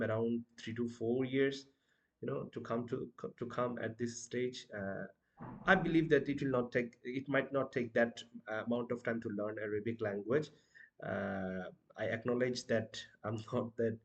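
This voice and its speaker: A man's voice: mid-range voice, lo-fi sound. A young man from Bangladesh with a Bangladeshi accent, a native Bangla speaker, giving casual advice in a vlog, full of hesitations and "you know" fillers as he corrects himself mid-sentence. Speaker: language-learning vlogger.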